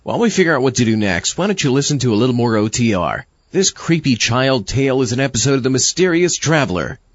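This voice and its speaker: A man's voice: mid-range voice, sing-song, fast talker, lo-fi sound. A man delivering scripted, comic hosting as presenter of a show. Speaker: show host.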